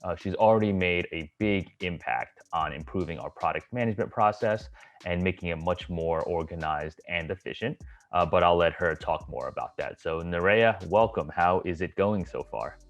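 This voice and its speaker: A man hosting a casual video call interview, friendly and halting with many fillers. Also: sits low, muffled recording, soft background music. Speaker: host.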